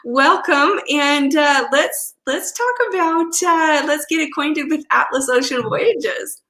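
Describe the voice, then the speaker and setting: A woman's voice: high-pitched, slow pace, a bit bright, roomy sound. A woman travel advisor hosting a live chat on a video call, speaking in an upbeat, enthusiastic way with many fillers. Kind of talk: casual live-stream chat.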